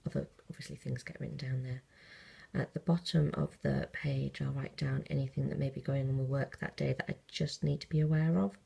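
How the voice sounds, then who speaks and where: Casual woman vlogger, croaky morning voice, low and husky, phone-recorded walkthrough. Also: little pitch movement, brisk, warm tone. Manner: chatty, friendly, flips pages while explaining. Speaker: planner vlogger. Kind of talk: casual show-and-tell walkthrough. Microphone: handheld phone.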